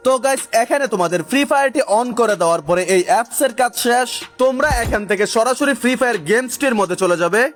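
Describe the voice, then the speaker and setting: A man's voice: extremely high pitch, animated, fast talker, hardly any pauses. A man narrating a gaming tutorial, speaking directly to viewers in an instructional way. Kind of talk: energetic scripted tutorial narration. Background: music.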